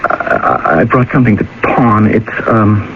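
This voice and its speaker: Anxious, brooding young student, swooping pitch. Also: male voice, expressive, a little slow, background noise. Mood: nervous, pleading. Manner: nervous, hesitant, muttering to himself. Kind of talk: 1970s radio drama acting. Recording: dull radio recording with music.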